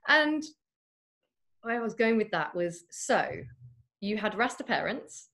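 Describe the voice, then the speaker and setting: A woman hosting a casual interview chat, playful and quick to laugh. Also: mid-range voice, very animated, fairly bright tone. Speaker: host.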